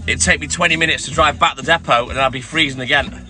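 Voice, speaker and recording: A man's voice: fairly high pitch, steady tone, very fast, music in the background. A man who works as a milkman chatting casually on a live stream, rambling through stories and greeting viewers by name, with a mixed English accent that belongs to no one region. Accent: mixed English, no clear regional accent. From English Midlands, raised partly in North Wales. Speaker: milkman livestreamer. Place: in and around a milk van with his dog.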